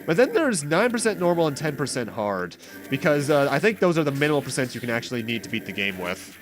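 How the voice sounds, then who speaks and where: A man's voice: fairly high pitch, big pitch swings, fast. A man giving casual, rambling let's play commentary, explaining things and correcting himself as he goes. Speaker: host of a video game playthrough series. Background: game music.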